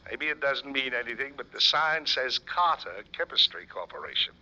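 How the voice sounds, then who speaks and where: An actor playing a blustery, easily exasperated police lieutenant with a folksy, sarcastic manner in an old-time radio show. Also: male voice, medium pitch, very animated, moderate pace, pushed and bright. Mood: smug, needling. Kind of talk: old-time radio comic-detective acting. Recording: dull, muffled old radio recording.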